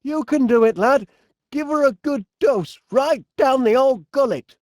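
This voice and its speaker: An actor playing a fussy, cheerful, absent-minded middle-aged inventor with a Northern English accent, speaking in a bumbling, polite way for an animated comedy game. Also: male voice, very high-pitched, unhurried, no room sound, clean studio sound. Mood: flustered urging. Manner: bumbling, hesitant, polite, chatty.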